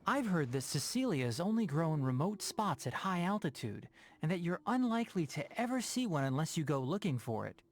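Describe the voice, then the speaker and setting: A man voicing a gentle, thoughtful young botanist with polite, measured speech in a video game. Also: fairly high pitch, sing-song. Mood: wistful. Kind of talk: scripted game voice acting.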